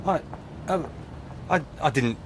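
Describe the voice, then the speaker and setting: A man's voice: fairly high pitch, lively intonation, takes it slowly. An actor playing an earnest, uneasy police sergeant who defers to his boss in a radio drama. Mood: flustered. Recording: clearly noisy background ambience.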